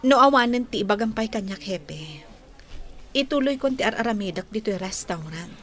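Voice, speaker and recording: A woman's voice: mid-range voice, lively intonation, normal speed, close to an everyday voice. A woman with an Ilocano Filipino accent playing a guarded, troubled young lady in a radio drama. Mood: guarded, cool. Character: guarded young lady, wary and troubled over her beloved.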